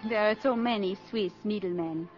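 A woman's voice: medium pitch, moderate pace, close to an everyday voice. A woman playing an elegant, coolly arch countess in a 1950s radio drama, poised and gracious. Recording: muffled 1950s radio broadcast.

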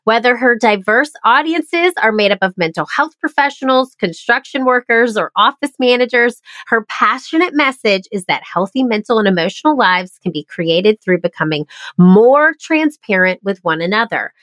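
A woman's voice: fairly high pitch, expressive, moderate pace, a bit bright, no echo. A woman hosting a podcast interview, her voice nasal and congested after the flu, talking in an upbeat, enthusiastic way. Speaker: podcast host.

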